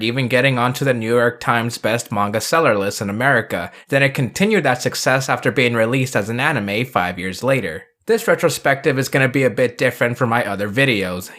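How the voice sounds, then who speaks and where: A man's voice: medium pitch, a bit bright. Male reviewer for scripted video commentary, mid-range voice, casual with wry asides.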